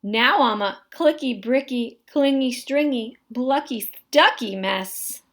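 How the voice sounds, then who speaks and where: Slow, expressive woman reading a children's story. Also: fairly high pitch. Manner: expressive, dramatic delivery with drawn-out words. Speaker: story reader. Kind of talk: animated children's picture book read-aloud.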